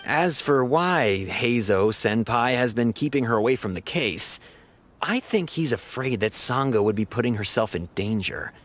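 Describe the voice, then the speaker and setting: A man's voice: fairly low pitch, fairly bright tone. A man playing a good-natured, chatty police officer in a video game, speaking in a casual, confiding way. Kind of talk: video game character acting. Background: music.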